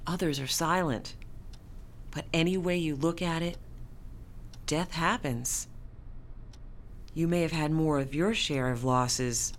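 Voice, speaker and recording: Low-voiced, composed hospital woman. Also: slight background noise. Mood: consoling, firm. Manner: measured, formal, consoling. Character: composed, firm yet compassionate female hospital staffer. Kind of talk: radio drama acting.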